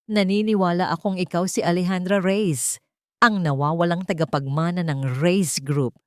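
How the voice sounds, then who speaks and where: A woman voicing an earnest, worried man in an audio romance story, speaking gravely and hesitantly with emphasis. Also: lowish voice, steady tone, moderate pace, darkish tone, no room sound, clean studio sound, lightly acted.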